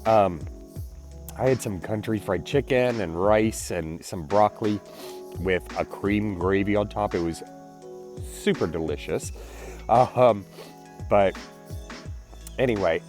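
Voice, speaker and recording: A man, congested and clearing his throat, chatting casually in a daily vlog and apologizing often. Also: fairly low pitch, expressive, takes it slowly, long pauses, darkish tone, soft background music. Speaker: vlogger.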